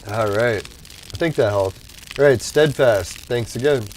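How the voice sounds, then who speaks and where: Man, stream host, tired voice going hoarse, easygoing rambling chat, average pitch. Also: unhurried, noisy background. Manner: laid-back, rambling, laughs often. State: losing his voice. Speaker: livestream host. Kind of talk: casual chat with live viewers.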